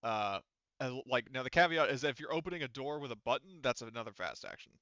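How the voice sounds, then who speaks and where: A man's voice: medium pitch, animated, fast, pushed and bright, dry recording, highs cut off. A man acting as game master, patiently explaining the rules of a tabletop roleplaying game step by step.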